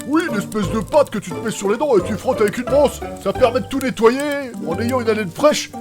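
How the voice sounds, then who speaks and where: An actor playing an eccentric, touchy, pedantic chemist in a comedic audio drama, with blunt, slangy delivery. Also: male voice, extremely high pitch, expressive, a little fast. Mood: insistent. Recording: music behind the voice.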